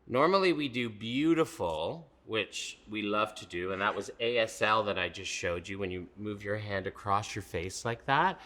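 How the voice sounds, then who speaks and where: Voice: low-pitched, expressive, moderate pace, few pauses, pushed and bright. A man co-hosting a children's storytime with a playful, theatrical, exuberant way of talking and reading.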